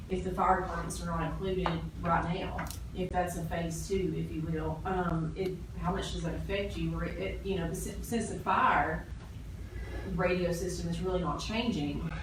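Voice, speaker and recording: Woman asking careful questions at a public meeting, lowish voice, noisy room. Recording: very echoey, distant mic, noisy room.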